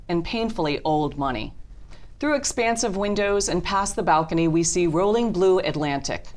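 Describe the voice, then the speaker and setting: A woman serves as narrator for a script reading. Her voice sits a little low for a woman as she reads out scene and action descriptions. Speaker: narrator reading stage directions.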